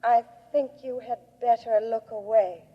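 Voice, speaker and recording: An actress playing a grieving, proud alien woman in a 1950s radio drama, speaking in a solemn, measured way. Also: fairly high pitch, fairly even intonation, close to an everyday voice. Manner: slow, solemn, measured delivery. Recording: muffled old radio recording, long cavernous reverb.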